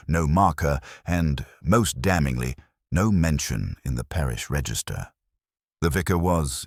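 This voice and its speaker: A man reads a story in an extremely low voice with lively rises and falls. His delivery is measured and formal, with a dry wit, and the sound is close and dry. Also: no echo. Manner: measured, formal, dryly wry. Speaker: narrator. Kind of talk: scripted story narration, audiobook-like.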